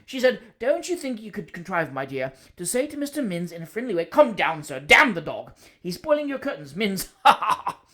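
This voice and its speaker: A reader voicing Mister Button, a loud, overfamiliar middle-aged man who booms and repeats himself in a storybook reading. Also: big pitch swings, brisk, few pauses, close to an everyday voice. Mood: boisterous. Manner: booming and repetitive.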